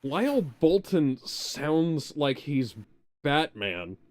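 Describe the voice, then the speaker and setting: A man's voice: a little high, sing-song, very slow, no room sound, studio quality. A man chatting casually as a show host, rambling through commentary in a watch-along.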